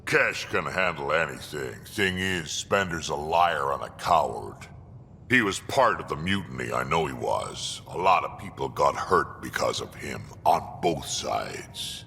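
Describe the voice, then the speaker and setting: An actor playing an ancient, blunt alien mercenary and grandfather with a gravelly voice in a video game. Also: rumbling low, animated, unhurried, bright, forceful tone, in a large hall, a little hiss or hum, full character voice. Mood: gruff, distrustful. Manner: blunt, coarse. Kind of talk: video game character dialogue.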